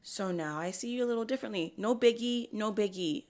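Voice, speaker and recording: A woman hosting a podcast in casual chat, animated and slangy, laughing often. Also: medium pitch, brisk. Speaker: podcast host.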